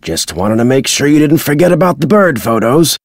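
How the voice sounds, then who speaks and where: An older American man voicing a crotchety retired birdwatcher in a video game, folksy and gruff with a husky, dry delivery. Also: a little high, big pitch swings, brisk, dry recording, exaggerated voice. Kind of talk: video game character dialogue.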